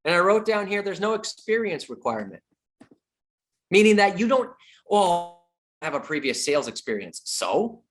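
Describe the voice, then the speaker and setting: A man's voice: high-pitched, very animated, normal speed, a bit bright. A middle-aged man giving a sales training talk as a real estate coach, emphatic, repeating his key points and joking with the audience.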